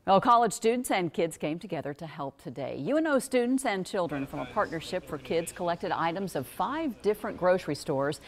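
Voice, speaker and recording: A woman's voice: fairly low pitch, a little hiss or hum. An American woman anchoring a local TV newscast, reading scripted stories. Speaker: news anchor.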